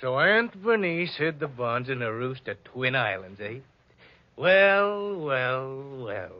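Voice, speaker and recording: An actor playing a needling, sneering cousin in a 1940s radio drama. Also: male voice, medium pitch, big pitch swings, takes it slowly, dry recording. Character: needling, sneering male cousin, rival heir. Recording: dull vintage radio recording.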